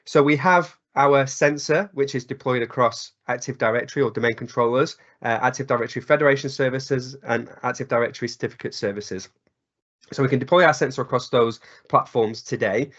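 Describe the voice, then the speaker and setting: A man with a croaky cold voice presenting a technical webinar, with frequent ums, uhs and restarts. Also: medium pitch, a little fast, fairly bright tone. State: hoarse from a cold. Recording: slightly dull webinar audio.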